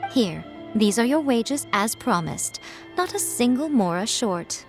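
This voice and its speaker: Game casting: an elegant, scheming priestess, coy and teasing, highly melodic delivery, slightly high. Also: female voice, animated, unhurried, music in the background. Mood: teasing, sly. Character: sly, elegant, playfully manipulative lady head priestess. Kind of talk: video game character acting.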